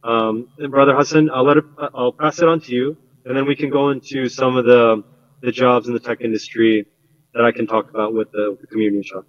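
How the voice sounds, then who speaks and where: A man on a community panel telling a personal story in an earnest, halting way with many fillers. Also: mid-range voice, steady tone, highs cut off.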